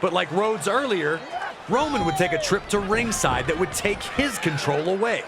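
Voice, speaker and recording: Over a very loud, roaring arena crowd, a man delivers dramatic wrestling narration in a high-pitched voice. He tells the action emphatically, like a storyteller in a sports documentary. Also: lively intonation, normal speed, heavy background noise.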